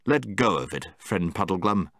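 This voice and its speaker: Man doing a polite noble prince, formal old-timey talk, lively voice, dry. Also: deep voice, moderate pace, gravelly, no echo, pitched below the performer's own voice, exaggerated voice. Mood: gracious. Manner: formal, old-fashioned speech. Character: noble courteous young prince.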